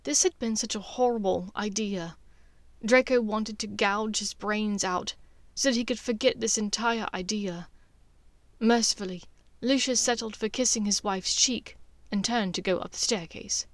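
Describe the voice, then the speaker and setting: A woman's voice: mid-range voice, moderate pace, no echo. A woman reading scripted story narration as an audiobook narrator.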